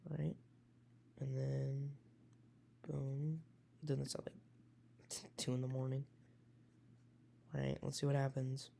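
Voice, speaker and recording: Man, raspy, heavy vocal fry, dark mellow tone, rambling casual weather talk. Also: medium pitch, completely flat delivery, takes it slowly, pauses often, slight background noise. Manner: rambling, hesitant, self-correcting. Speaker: amateur weather enthusiast. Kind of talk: casual forecast walkthrough.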